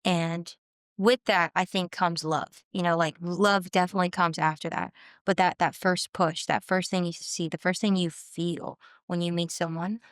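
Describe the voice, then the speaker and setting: A woman's voice: on the low side, level tone, moderate pace, smooth, no echo, clean studio sound. A woman in her twenties from Southern California, speaking as a guest on a casual podcast interview, earnest and reflective, often trailing off and restarting. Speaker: guest musician.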